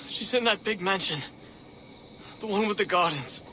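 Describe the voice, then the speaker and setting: A man's voice: high voice, moderate pace, background noise, lightly acted. A man playing a cornered, frightened gang henchman in a video game, strained and pleading. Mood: terrified.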